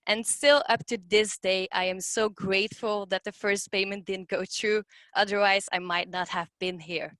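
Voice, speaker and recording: A woman's voice: mid-range voice, fairly even intonation, moderate pace, hardly any pauses, a bit bright, no echo. A Belgian woman whose first language is Dutch, speaking English with a Dutch accent, earnestly telling her story as a community manager. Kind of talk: personal story and class instructions.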